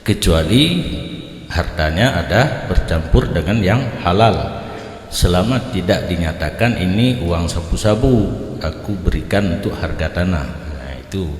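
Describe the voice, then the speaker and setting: An older Islamic teacher with an Acehnese accent speaks in a very low, unhurried voice. He explains rulings informally, in the manner of a lecture answering questions. The sound rings with the long echo of a hall. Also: lively intonation. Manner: informal, explanatory, switches between languages. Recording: echoey hall with long reverb.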